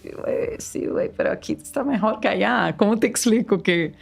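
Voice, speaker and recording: A woman from Brazil, a businesswoman guest in a casual interview, talking in an animated, chatty way with frequent restarts. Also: medium pitch, a little fast, gravelly, husky, soft background music. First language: Portuguese.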